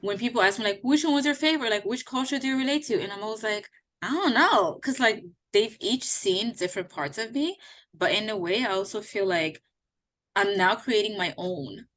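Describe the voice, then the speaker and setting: A woman's voice: mid-range voice, brisk, a bit bright. A woman in her thirties, from Haiti, co-hosting a podcast and talking in an earnest, reflective way with plenty of fillers. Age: 30s. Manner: reflective, earnest, many "like" and "you know" fillers. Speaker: podcast co-host. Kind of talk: casual conversation.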